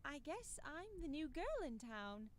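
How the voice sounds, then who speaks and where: A woman's voice: sits high, sing-song, unhurried, slight background noise. A woman voicing a polite, curious young newcomer to a village in a visual novel, hesitant in manner.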